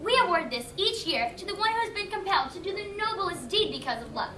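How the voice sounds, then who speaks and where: A woman's voice: extremely high pitch, sing-song, fast, a crowd in the background. A woman playing a peppy fairy-tale awards hostess, presenting like an announcer in a stage comedy. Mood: presentational. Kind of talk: youth stage comedy acting. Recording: echoey hall from far off, audience noise.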